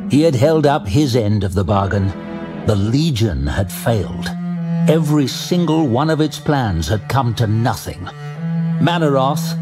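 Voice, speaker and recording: A man narrating a scripted fantasy tale dramatically, with a measured, grave storytelling manner. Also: mid-range voice.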